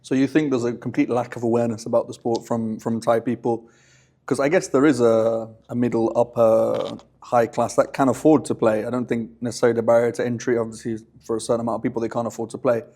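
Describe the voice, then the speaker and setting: A man hosts a relaxed sports interview, asking long, chatty questions at a brisk clip. His voice sits at an ordinary middle pitch for a man. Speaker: interview host. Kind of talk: casual interview.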